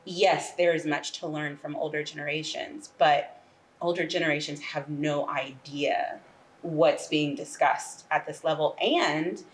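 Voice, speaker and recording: A woman's voice: deep voice, fairly even intonation, unhurried. A woman giving conversational interview answers as a guest playwright, often checking in with the listener. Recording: faint background room noise.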